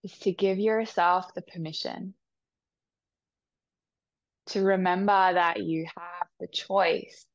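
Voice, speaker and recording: A woman leading a guided meditation with soft, soothing instructions. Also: fairly low pitch, steady tone, dry recording, muffled recording. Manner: soft, slow, soothing instructions with long pauses.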